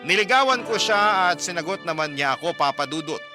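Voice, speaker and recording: A man tells a first-person love story for a radio program in an earnest, storytelling way. His voice sits a little high, and clear background music plays under his narration. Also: animated, a little fast. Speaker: narrator of a listener's letter. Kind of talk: scripted dramatic radio narration.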